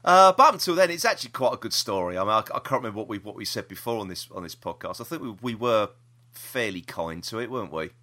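A British man with a bright, lively voice hosts a casual podcast. His pitch moves around a lot as he rambles through the news and gives his opinions freely. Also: fast talker. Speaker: podcast host. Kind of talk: casual chat about TV news.

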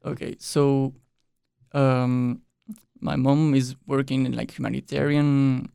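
Young male guest, raised in Mexico, relaxed talker with heavy vocal fry, dry studio sound. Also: mid-range voice, takes it slowly, dry recording. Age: young adult. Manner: relaxed, loose with frequent "like" and quiet trailing ends. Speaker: exchange student guest. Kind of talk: casual interview chat. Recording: dry close studio mic.

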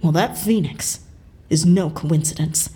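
Brusque female spy for audio drama: slightly low voice, clipped, dry, guarded. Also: a little slow, mellow. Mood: deliberate. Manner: curt, dry, blunt. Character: brusque, evasive female CIA agent abroad.

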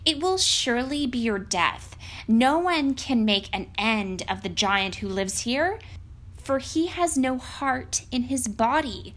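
A woman voicing a young princess who is anxious but quick-witted, soft and slightly higher. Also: lively intonation. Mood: worried. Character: gentle young princess, worried and clever.

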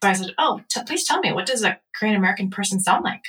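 Female podcast co-host from LA, bright tone, thoughtful delivery, set back from the mic. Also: medium pitch, expressive, racing, far from the mic. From Los Angeles, US. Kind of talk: casual podcast chat.

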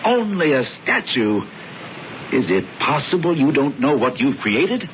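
An actor playing a smooth, persuasive older brother in a radio drama, with theatrical, emphatic, deliberate phrasing. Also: male voice, medium pitch, very animated. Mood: rapturous. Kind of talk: 1970s radio drama acting. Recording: dull, noisy old radio broadcast.

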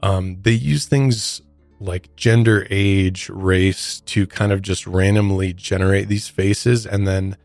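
The low-voiced man you'd hear on a true-crime podcast, explaining cases from a dry, dead-quiet studio. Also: no echo. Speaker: podcast co-host.

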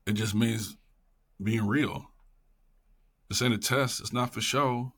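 A man's voice: medium pitch, fairly even intonation, slow pace, fairly bright tone, breathy edge. A middle-aged man talking casually and passionately as an interview guest, emphatic and repeating phrases for effect. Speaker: podcast guest, educator.

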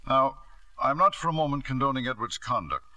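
Forceful, smooth middle-aged lord. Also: male voice, medium pitch, expressive, fairly quick, no echo. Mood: flustered, insistent. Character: domineering, smooth British lord, middle-aged father of grown son. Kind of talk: 1950s radio drama acting.

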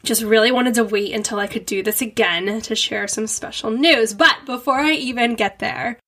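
A woman's voice: a little high, moderate pace, pushed and bright. A young woman with a stuffy head cold, chatting casually and rambling as a podcast host, giggling often. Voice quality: nasal, stuffy. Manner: bubbly, giggly, rambling with lots of "like".

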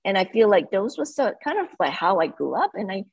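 Husky-voiced woman. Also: mid-range voice, fairly quick, no room sound. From Malaysia. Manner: earnest, animated, slightly non-native English grammar. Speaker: guest, company founder. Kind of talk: casual interview.

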